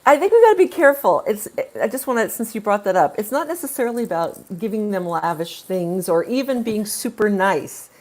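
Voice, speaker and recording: Female guest, medium pitch, casual and explanatory. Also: expressive, brisk, hardly any pauses, a little mellow. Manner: informal, explanatory. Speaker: guest performer. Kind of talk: casual chat.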